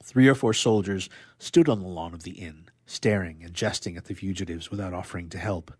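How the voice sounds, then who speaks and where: A man reading an excerpt from a novel in a measured, expressive, dramatic narrating style. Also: deep voice, breathy edge, no echo, studio quality. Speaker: radio player reading a literary excerpt.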